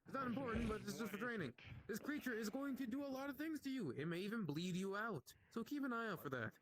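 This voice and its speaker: Man playing a high-voiced bossy arena master. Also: animated, fast talker. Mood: urgent, commanding. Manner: commanding, drill-instructor phrasing. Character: bossy monster-hunter guild arena master drilling hunters. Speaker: arena announcer and trainer in a role-play. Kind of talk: improvised in-character announcing. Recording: busy crowd of voices behind. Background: other players chattering.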